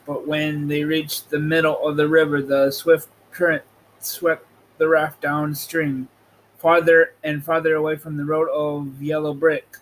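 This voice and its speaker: A man hosting and reading a storybook aloud in a halting, amateur way, with casual asides. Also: on the high side, rough recording. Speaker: host reading a book aloud. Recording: noticeable background noise.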